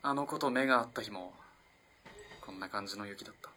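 An actor playing an earnest, lovestruck young student in an early twentieth-century Japanese radio play, halting and moving between hushed and impassioned delivery. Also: male voice, lowish voice, slight background noise. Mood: wistful, rueful. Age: young adult. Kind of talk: dramatic radio play acting.

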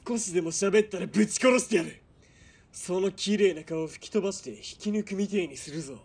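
A man's voice: sits high, brisk, slightly rough. A man playing a bullying, hot-tempered military police commander in a radio drama, barking threats. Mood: threatening.

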